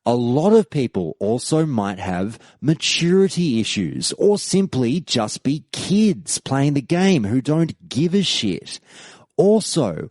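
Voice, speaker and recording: A man hosts a podcast in a slow, deliberate delivery with a lively, rising and falling pitch. His voice sounds dry and close, with no room around it. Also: dry recording. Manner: measured, reads forum posts aloud. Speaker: podcast host. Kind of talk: scripted podcast commentary.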